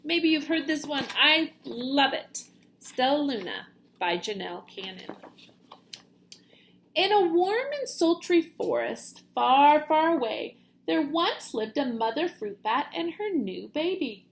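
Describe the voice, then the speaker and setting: A woman with a high voice reads aloud as a primary-style teacher would. Her delivery is expressive and dramatic, shaped for children following a storybook. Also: takes it slowly, rough recording. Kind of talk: children's picture book read-aloud.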